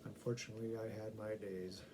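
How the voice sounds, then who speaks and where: A man's voice: fairly low pitch, little pitch movement, a little fast. A middle-aged man reading short humorous stories aloud, with casual asides to the listeners. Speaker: story reader. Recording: faint background noise.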